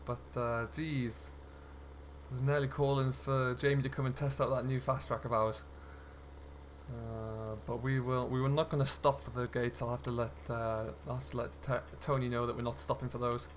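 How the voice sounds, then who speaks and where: A male gaming host with a mid-pitched voice talks casually through what he is doing at the wheel. His commentary is chatty and loose, in a role-play style. Faint vehicle noise and ambience sit behind his voice. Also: flat delivery, moderate pace.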